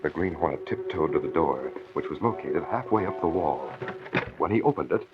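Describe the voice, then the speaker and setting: An actor voicing the dramatic narrator of an old-time radio crime serial. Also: male voice, extremely low pitch, expressive, normal speed. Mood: measured, suspenseful. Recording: dull lo-fi old radio recording.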